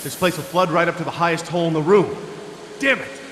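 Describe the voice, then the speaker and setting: A man's voice: a little high, fairly bright tone, slight background noise, natural voice. An actor playing an anxious, protective father in a video game, urgent and emotional. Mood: anxious, frustrated. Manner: urgent, emotional, halting under stress. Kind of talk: dramatic video game voice acting. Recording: echoey hall reverb with music.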